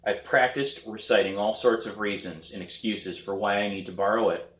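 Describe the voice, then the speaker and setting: A man reading a children's novel aloud as its author, in an animated storytelling manner. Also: on the low side, normal speed. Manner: animated, acts out dialogue. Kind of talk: expressive audiobook-style read aloud of a children's novel. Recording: roomy echo.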